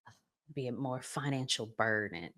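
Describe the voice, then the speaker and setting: A woman's voice: extremely low pitch, expressive, moderate pace, a bit bright. A woman hosting a relationship podcast, talking to viewers in an emphatic, preachy and informal way, often with rhetorical questions. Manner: informal, colloquial phrasing. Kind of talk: conversational opinion talk to viewers.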